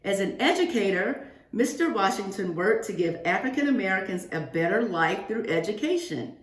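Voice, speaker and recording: A woman reads a children's book to a young class in an expressive, teacherly way, turning to the listeners with asides and questions. Her voice sits at an average pitch for a woman. The sound is roomy and echoing, as in a remote reading from indoors. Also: normal speed. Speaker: guest reader for a second-grade class. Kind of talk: read-aloud of a children's picture book with asides to pupils.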